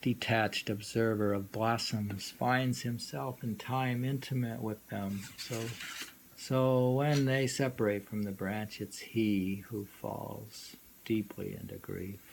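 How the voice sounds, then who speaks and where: A man reading poems aloud informally and musing on them in a halting way, often repeating lines. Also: lowish voice, fairly even intonation, creaky. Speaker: poetry reader and commentator. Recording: noisy amateur recording.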